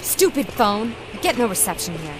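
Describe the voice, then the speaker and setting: A woman's voice: mid-range voice, sing-song, normal speed, background noise, natural voice. A young woman with an American accent acting an irritable, worried, no-nonsense teenage schoolgirl in a video game. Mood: irritated. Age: young adult. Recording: loud music over dialogue.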